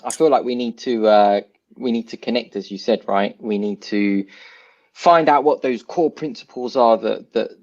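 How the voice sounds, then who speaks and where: A man from South London, a guest in a casual discussion, talking in an animated, thoughtful way with frequent restarts. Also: medium pitch, moderate pace, dull audio. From South London, England.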